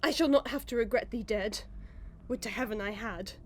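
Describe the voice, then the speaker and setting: An actress playing an anguished, devoted wife who pleads in archaic, emotional language in a Victorian melodrama for audio. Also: female voice, a little high, moderate pace, a little hiss or hum, close to an everyday voice. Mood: sorrowful.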